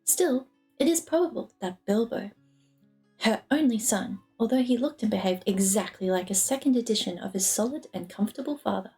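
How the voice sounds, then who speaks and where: A woman's voice: fairly high pitch, warm tone. A woman reading a novel aloud as storybook narration. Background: lofi music.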